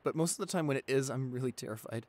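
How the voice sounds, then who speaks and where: A man acts out a shy young boyfriend in an intimate audio roleplay. He is anxious and soft-spoken, stammering and hesitating as he talks, with a medium-pitched voice. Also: rapid-fire, natural voice. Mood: calming down. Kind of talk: boyfriend ASMR roleplay acting.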